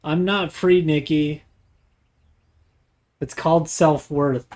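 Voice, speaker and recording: A man's voice: on the high side, steady tone, slow, darkish tone. A man in his late twenties chatting casually while gaming on a livestream, teasing and swearing freely. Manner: joking, teasing, profane. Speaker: game streamer. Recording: clearly noisy stream audio.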